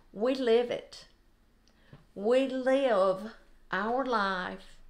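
An older woman with a Southern US accent, sharing an informal devotional in an earnest, deliberate way. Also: medium pitch. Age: 60s or older. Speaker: host of a devotional video. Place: home kitchen, indoors.

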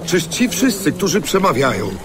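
A man's voice: high-pitched, very animated, normal speed. An actor playing an astonished townsman in an audio Bible drama, declaiming in amazement. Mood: amazed. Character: astonished man in a Jerusalem crowd. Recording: studio voice over busy crowd sounds. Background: crowd ambience.

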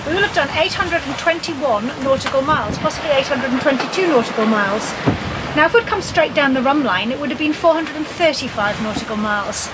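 A woman's voice: high-pitched, lively intonation, fairly quick. A woman in her fifties chatting playfully on camera aboard a sailboat at sea, filmed with a handheld action camera. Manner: chatty, playful, self-mocking. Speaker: sailing vlogger. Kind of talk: casual chat on camera. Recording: loud wind and wave noise. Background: loud wind and waves.

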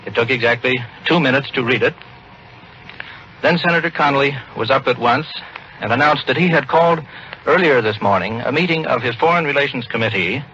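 A man's voice: mid-range voice, pushed and bright, highs cut off, a little hiss or hum. An American man reporting live news as a radio correspondent, on a 1940s broadcast.

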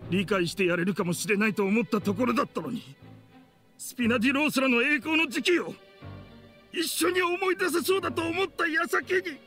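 An actor playing a bitter, vengeful middle-aged man in an anime game, speaking with rough, contemptuous, theatrical accusation. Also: very high-pitched, brisk, bright, forceful tone, soft background music. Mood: bitter, brooding, then grieving rage. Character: bitter, vengeful man in a grudge-driven confrontation.

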